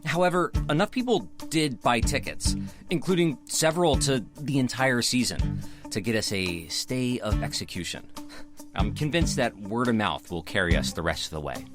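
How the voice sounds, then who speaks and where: A man's voice: mid-range voice, animated, moderate pace, music in the background, lightly acted. A man playing an eager young theater director. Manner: upbeat, eager. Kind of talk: audio drama narration and dialogue.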